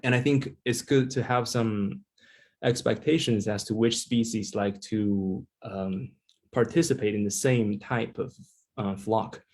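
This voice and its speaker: A young man from China giving an online presentation over a video call, pausing often with ums and uhs. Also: lowish voice, steady tone, darkish tone. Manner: hesitant, lots of ums and uhs.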